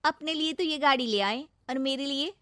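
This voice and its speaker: Cartoon young village bride, acted by a woman, highish voice, spirited, cheeky, dry studio sound. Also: moderate pace, fairly bright tone, no echo. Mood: teasing.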